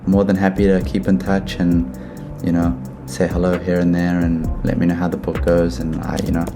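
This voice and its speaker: Deep-voiced young man on a video call. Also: normal speed, music in the background. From New Zealand. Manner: relaxed, rambling with many fillers. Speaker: program participant. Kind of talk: casual video call chat.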